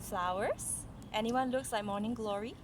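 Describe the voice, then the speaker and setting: Mother, fairly high voice, storytelling to a small child with gentle asides; clearly noisy recording. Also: normal speed. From Malaysia. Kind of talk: read-aloud storytelling with conversational asides. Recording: noisy recording with background sounds. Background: ambient noise, young child nearby.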